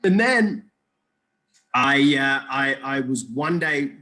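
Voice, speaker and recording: A man's voice: mid-range voice, lively intonation, slow, pushed and bright. A man from Iraq, a guest telling his story in a casual interview, rambling and joking with many restarts.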